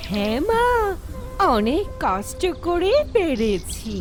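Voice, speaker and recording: Woman as lazy young village wife, sly, whiny, boastful, high swooping cartoon voice. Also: big pitch swings, slow, music in the background. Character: lazy, sly, grabby younger daughter-in-law. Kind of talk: cartoon fairy-tale acting.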